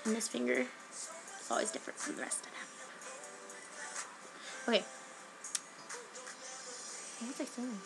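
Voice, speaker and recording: A woman's voice: a little high, very animated, a little slow, gravelly, pauses often, soft background music, poor audio. A teenage girl rambling hyperactively in a casual home webcam vlog, sniffly from a cold and jumping between topics. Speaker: vlogger.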